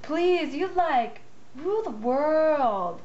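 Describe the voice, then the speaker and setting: A woman's voice: high-pitched, animated, very slow, a little mellow, lo-fi sound, full character voice. A woman voicing an earnest, dramatic vegetable character in an amateur puppet show. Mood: pleading. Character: zealous vegetable farmer and pleading young carrot.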